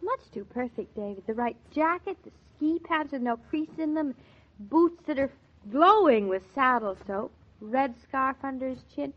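A very high-pitched young wife in a 1940s radio drama. She is chatty, playful and stubborn, exclaiming and fretting as she goes. The old recording is dull and lo-fi. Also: animated, normal speed. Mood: wry, doubtful. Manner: exclamatory, fretful, talkative.

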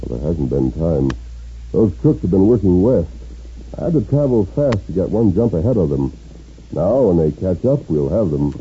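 An actor playing a steadfast masked western hero, with a deep husky American voice, in a 1950s radio drama. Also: male voice, very animated, normal speed, slight background noise. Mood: calm, resolute. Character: steadfast masked western hero, grown man, earnest and commanding. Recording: dull 1950s radio recording.

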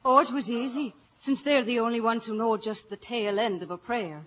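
Casting: spirited, superstitious Irish young woman, actress, high lilting voice, muffled vintage radio. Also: normal speed, close to an everyday voice. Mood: teasing, mysterious. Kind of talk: 1950s radio drama acting. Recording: muffled, faintly noisy old radio recording.

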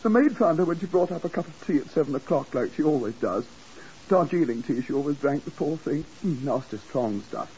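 A man's voice: on the high side, lively intonation, fairly quick, dark tone, close to an everyday voice. Man acting a flustered, swooping English hotel keeper. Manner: stammering, fussy, hesitant. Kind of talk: 1950s radio drama acting. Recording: muffled, hissy 1950s radio recording.

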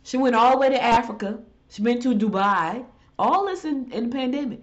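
A woman's voice: expressive, a little fast. A middle-aged woman hosting a casual solo talk show, stuffy from a head cold, chatting loosely and ranting in exasperation. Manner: chatty, exasperated rants with rising pitch. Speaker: show host. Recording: dull lo-fi audio.

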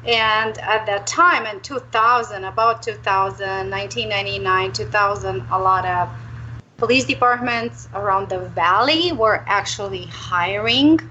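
A woman from Romania who works as a career coach tells her story as a radio guest, candid and animated. Her voice is bright and forward, heard over a dull, lo-fi line with a faint hiss behind it. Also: medium pitch, a little slow.

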